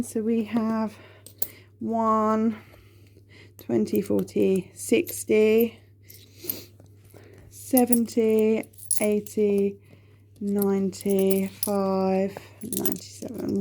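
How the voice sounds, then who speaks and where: A mellow, slightly gravelly woman in her thirties from the UK talks casually to her camera at home. She sniffles now and then and rambles on, filling gaps with ums and correcting herself. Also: mid-range voice, level tone, slow pace. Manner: chatty, rambling, with many ums and self-corrections. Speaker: budgeting vlogger. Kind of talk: casual chat to camera while counting cash.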